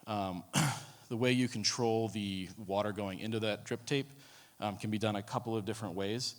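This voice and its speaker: A man with a low voice presents to a room, speaking casually and filling pauses with ums and false starts. His voice carries a long hall reverb. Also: fairly even intonation. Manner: casual, many ums and restarts. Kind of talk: conference talk. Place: conference room.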